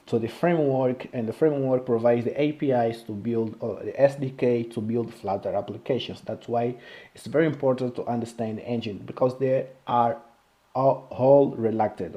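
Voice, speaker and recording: A man with a non-native English accent giving an informal technical tutorial in a halting, repetitive way, often checking in with a short question. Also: medium pitch, warm tone. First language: not English. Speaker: programming video creator.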